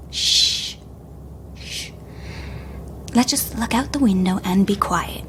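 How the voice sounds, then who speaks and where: A woman playing a shy, anxious young honeymooning wife in an audio drama, fretting in a hushed, breathy, naturalistic way. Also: medium pitch, slow, slight background noise. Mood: embarrassed, nervous.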